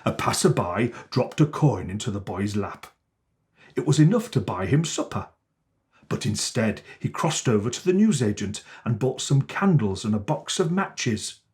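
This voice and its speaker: A man reading a children's storybook as Father Christmas, with theatrical, deliberate and expressive phrasing. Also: medium pitch.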